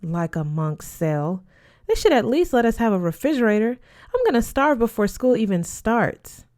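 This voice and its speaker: A woman's voice: on the high side, sing-song, normal speed, a little mellow. A woman voicing a frank, wry teenage schoolgirl in casual dialogue.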